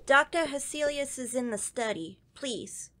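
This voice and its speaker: An actress playing an offhand, drawling assistant at the door in a radio drama. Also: female voice, sits high, a little slow, a bit bright. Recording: clearly noisy background.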